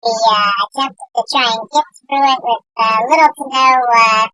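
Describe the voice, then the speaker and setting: A woman's voice: sits high, steady tone, normal speed, sharp tone, poor audio. A woman narrating a casual voice-over for a travel video, explaining with frequent hesitations. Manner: casual, hesitant, many uh fillers.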